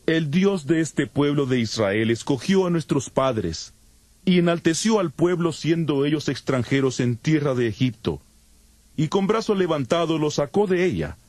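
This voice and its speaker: An actor playing the apostle Paul as a fervent, solemn, declamatory preacher in a dramatized audio Bible. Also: male voice, on the high side, animated, moderate pace, a little mellow, no echo. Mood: solemn preaching. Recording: music under the voice.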